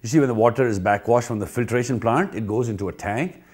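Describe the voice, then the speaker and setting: A man's voice: medium pitch, fast talker, a little mellow. A man with an Indian accent giving an informal training talk, emphatic and repetitive, often checking in with rhetorical questions. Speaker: pool company trainer.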